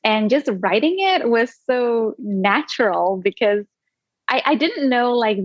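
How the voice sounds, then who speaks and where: A woman's voice: medium pitch, dry recording, lo-fi sound. A young adult woman from Indonesia, an author talking casually in an interview, chatty with many fillers. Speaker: author guest.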